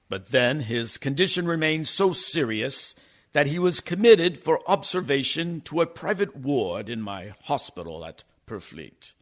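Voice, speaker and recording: An actor playing an earnest gentleman doctor who narrates a radio play in a formal, deliberate, theatrical manner. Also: medium pitch. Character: earnest gentleman doctor recounting his personal papers. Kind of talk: dramatic scripted radio narration.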